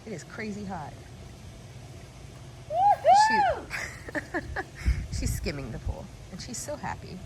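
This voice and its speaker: A woman's voice: mid-range voice, huge pitch swings, slow pace, long pauses, very noisy. A middle-aged woman chatting casually to a phone camera, rambling through updates on her days. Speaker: vlogger. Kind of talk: casual vlog talking to camera.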